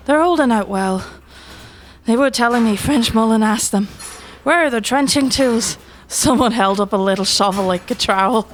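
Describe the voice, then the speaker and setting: An actress playing a plucky, brisk courier for Irish rebels in an audio play, with an Irish accent. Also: female voice, mid-range voice, a little hiss or hum, lightly acted.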